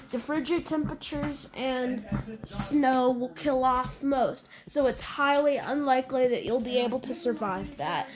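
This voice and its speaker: High-pitched woman over crowd noise, halting delivery. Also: low-quality recording. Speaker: narrator of a fictional emergency alert scenario. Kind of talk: scripted emergency announcement with ad-libbed reactions.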